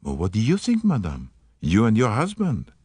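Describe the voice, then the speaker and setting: A man's voice: lowish voice, huge pitch swings, moderate pace, a little mellow, breathy edge, no room sound, heavily acted. A man voicing a fussy elderly Belgian detective with a French accent, precise and courteous, slipping in French words. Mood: calm.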